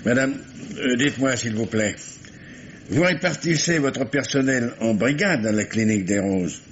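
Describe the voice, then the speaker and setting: An actor playing an insistent, sardonic police commissioner who questions methodically, with stammered repetitions, in the style of a 1950s French radio crime drama. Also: male voice, mid-range voice, lively intonation, normal speed, natural voice. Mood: courteous, insistent. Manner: probing, stammering repetitions, ironic. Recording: muffled, noisy old radio recording.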